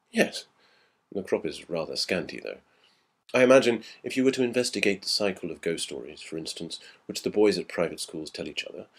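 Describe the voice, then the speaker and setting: A man reading the part of a reflective gentleman recalling his school days in a storybook, musing and conversational. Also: low-pitched, slightly rough, exaggerated voice. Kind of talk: storybook character dialogue.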